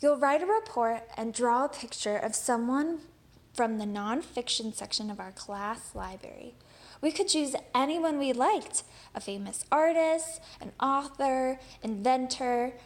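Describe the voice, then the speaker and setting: A woman voicing a caring female schoolteacher from a picture book, animated, emphatic and encouraging. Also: high voice, unhurried. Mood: encouraging, instructive. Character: caring woman schoolteacher who fondly recalls past pupils.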